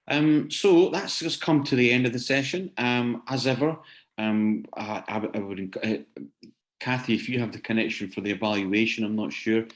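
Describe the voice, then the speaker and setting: A man's voice: mid-range voice, moderate pace. A man hosting an online seminar informally, hesitant and often repeating words as he searches for his phrasing. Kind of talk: informal spoken closing remarks to attendees. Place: online video session.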